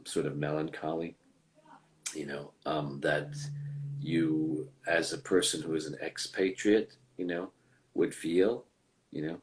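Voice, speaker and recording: Super deep voiced guy, lots of ums and restarts, chatting as a guest. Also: a little mellow. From United States. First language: English. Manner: hesitant, many fillers and restarts. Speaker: voice actor guest. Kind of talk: casual conversational interview.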